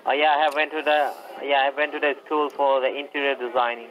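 A man's voice: medium pitch, fairly even intonation, fast talker, fairly bright tone, soft background music. A man calling as a fake tech-support agent, hesitant and full of fillers, over a phone line. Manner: hesitant, many fillers, asks for repeats. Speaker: scam caller. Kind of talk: scripted tech-support pitch.

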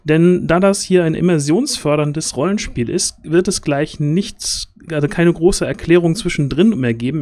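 Male stream host, thirties, slightly high pitch, chatty and halting introductions, dry headset sound. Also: a little mellow, no echo. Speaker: host of a tabletop role-playing stream. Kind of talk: casual livestream introduction. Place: small room at home, on a video call. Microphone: headset, close.

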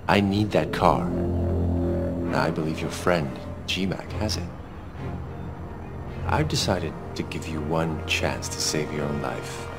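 An actor playing a menacing, coldly polite crime boss in a video game, speaking in a deliberate, formal, threatening way. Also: male voice, deep voice, gravelly. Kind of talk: video game cutscene acting.

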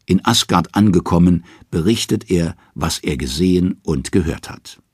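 Male saga narrator: very low, husky voice, scripted dramatic storytelling. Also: darkish tone, no room sound, clean studio sound. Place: dry studio.

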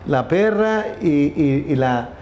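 A man's voice: fairly high pitch, animated, slow, darkish tone. A middle-aged man telling a story in a casual interview, rambling with many restarts and repeated words. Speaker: company founder, interview guest. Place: room with wooden wolf statue, indoors. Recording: echoey room, faint background noise.

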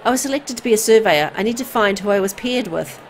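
A woman plays an anxious young horror game heroine. She sounds earnest and hesitant, pleading in a medium-to-raised pitch, over faint background noise. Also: lively intonation, fast talker, natural voice. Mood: pleading, worried.

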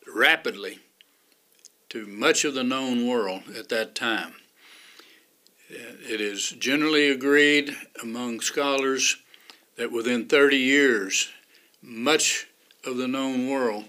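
An older man with a Texan accent teaches a Sunday school lesson at an unhurried pace, leaving pauses between his phrases. His voice is bright and carries a forward edge. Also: medium pitch. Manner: slow, deliberate teaching with pauses. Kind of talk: Bible lesson to camera. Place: room with bookshelves behind him.

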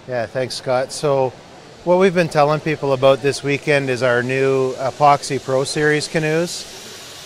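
A man in his thirties explains his product in an earnest, steady way, sounding a little worn down at the end of a long trade show. His voice sits at a middle pitch, and a handheld mic is held close to him at the booth. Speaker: canoe company owner. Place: busy indoor expo hall booth. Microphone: handheld mic held by interviewer.

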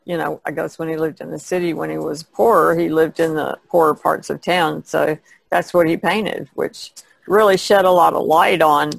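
A woman's voice: sits low, creaky, dry recording. A woman on a podcast panel, talking casually about art.

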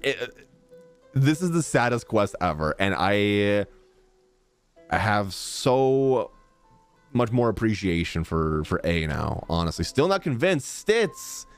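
A man in his thirties reacting casually to a game on a live stream, excitable and slangy. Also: fairly low pitch, slow, clean tone. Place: indoors, small streaming room. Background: game music and sounds.

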